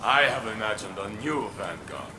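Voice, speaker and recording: An actor playing a gruff, honorable veteran warrior in a video game, blunt and halting in his speech. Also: fairly low pitch, very animated, reverberant, noisy background. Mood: wry, wistful. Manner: halting, weighty, plain-spoken. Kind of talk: dramatic video game acting. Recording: music under the voice. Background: game music.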